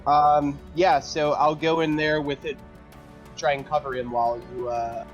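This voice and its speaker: A man's voice: on the high side, flat delivery, a little slow. A man chatting casually as a player in a tabletop roleplaying game. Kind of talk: casual chat. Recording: dull lo-fi sound, music behind.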